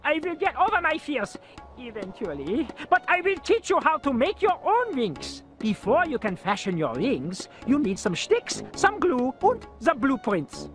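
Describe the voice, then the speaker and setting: A woman voicing a cowardly, excitable inventor who dreams of flying, in a cartoon game fan dub. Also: sits high, a little slow, soft background music, full character voice. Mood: exasperated. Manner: nervous, hesitant, exclaiming. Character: cowardly, excitable would-be flyer inventor, an adult.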